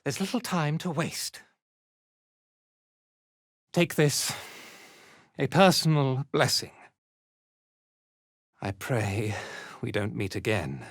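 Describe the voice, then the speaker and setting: A man playing a haughty, zealous dark elf cult commander in a video game, with an imperious, contemptuous and theatrical delivery. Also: mid-range voice, lively intonation, takes it slowly, pauses often, no room sound, studio quality. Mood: stern, measured. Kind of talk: scripted video game character acting.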